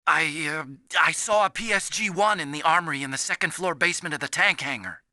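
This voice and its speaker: Earnest, bright-voiced nerdy scientist. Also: male voice, on the high side, fairly quick, no echo, studio quality. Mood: hesitant, helpful. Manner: earnest, anxious, explanatory. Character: earnest, timid young male scientist, soft-hearted and nerdy. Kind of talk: video game voice acting.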